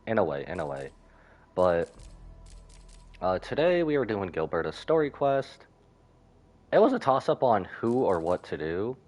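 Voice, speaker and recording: A man's voice: sits low, sing-song, unhurried, long pauses, a little mellow. A man doing casual live-stream game commentary, rambling and thinking aloud as he goes.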